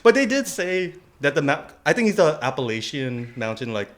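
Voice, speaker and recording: A man's voice: fairly high pitch, sing-song, normal speed. A man co-hosting a podcast, chatting casually in a rambling, slangy, informal way. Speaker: podcast co-host. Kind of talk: casual chat.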